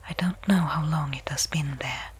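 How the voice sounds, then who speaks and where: A woman playing a tense stranded space explorer in a breathy, hushed ASMR audio roleplay monologue, phrasing deliberately with pauses. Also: deep voice, a little hiss or hum, lightly acted. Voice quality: breathy, unvoiced whisper.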